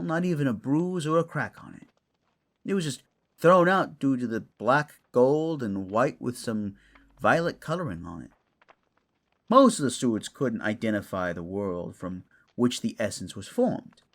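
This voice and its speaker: A man's voice: mid-range voice, creaky, a bit bright, full character voice. A man playing a wry, scholarly raccoon librarian in a fantasy audio drama, musing in a wordy, teacherly way.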